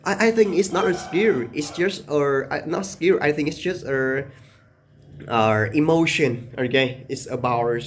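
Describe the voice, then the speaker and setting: A young Vietnamese man with a slightly higher-pitched voice chats to camera in English, his second language. He overpronounces every word and keeps checking in with "okay?" between fillers. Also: slight background noise. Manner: deliberately exaggerated, emphatic pronunciation; frequent "okay?" tags and "uh" fillers. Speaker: English-learning video creator. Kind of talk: casual talk to camera.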